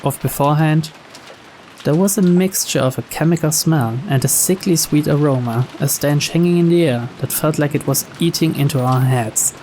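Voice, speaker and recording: A man reading scripted visual novel narration aloud in a measured storyteller's manner. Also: on the high side, darkish tone, a little hiss or hum, rough recording.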